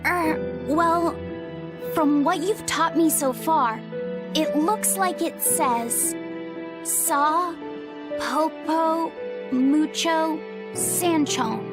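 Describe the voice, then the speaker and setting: A young boy for a video game, a curious and courteous pupil. The voice is high and very slow, careful and earnest. Loud music runs underneath. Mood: hesitant. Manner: hesitant, earnest, respectful.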